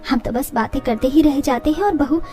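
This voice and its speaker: Middle-aged mother-in-law, voiced by a woman, boastful yet agreeable, slightly lowered voice, storybook drama. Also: a little fast, mellow, soft background music, lightly acted. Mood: proud. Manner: boastful, obliging.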